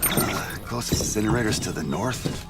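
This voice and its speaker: An actor playing a businesslike, urgent corpse disposal worker in a game drama, brisk, direct and practical. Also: male voice, lowish voice, animated, close to an everyday voice. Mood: grim, urgent. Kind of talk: dramatic video game cutscene acting. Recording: music behind the dialogue.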